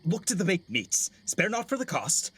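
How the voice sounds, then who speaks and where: A man's voice: high voice, sing-song, normal speed, fairly bright tone, slightly rough, no room sound, exaggerated voice. A man playing a fussing older father and master of the house in a classical Shakespeare play, commanding and theatrical. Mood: bustling, impatient. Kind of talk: classical Shakespearean stage acting.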